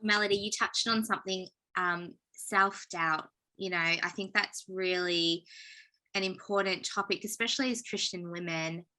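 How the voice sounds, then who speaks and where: Host: reflective woman interviewer, lower pitch, bright, clear tone. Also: steady tone, moderate pace, low-quality recording. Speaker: podcast host. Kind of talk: casual interview talk.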